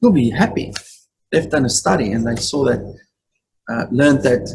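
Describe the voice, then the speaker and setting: A man's voice: medium pitch, lively intonation, moderate pace, gravelly, darkish tone, a little hiss or hum, poor audio. A man teaching an online Bible study group over a video call, earnest and given to repeating himself. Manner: earnest, repetitive affirmations.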